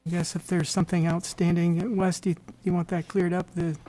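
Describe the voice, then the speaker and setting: An American man with a slightly hoarse voice, chairing a public board meeting in an informal, halting way. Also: sits high, little pitch movement, warm tone. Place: town board meeting room.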